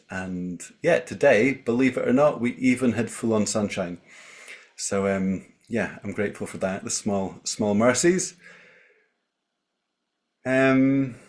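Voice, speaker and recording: Male Scottish storyteller, mid-range voice, talking informally to an online group, pausing thoughtfully between phrases. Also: medium pitch, darkish tone. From Scottish Highlands. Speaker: storyteller, guest speaker. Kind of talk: informal spoken introduction on a group video call. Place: video call.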